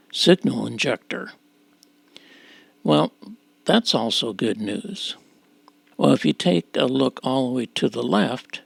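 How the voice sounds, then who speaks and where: A man giving an unscripted, halting, plain-spoken walkthrough of a repair job as a presenter. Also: medium pitch, gravelly, husky, dry recording.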